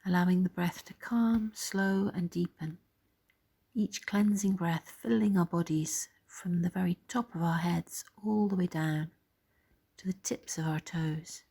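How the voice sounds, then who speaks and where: Soft breathy woman doing a body scan meditation, voice a bit low, gentle with lots of pauses. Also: fairly even intonation. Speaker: meditation guide.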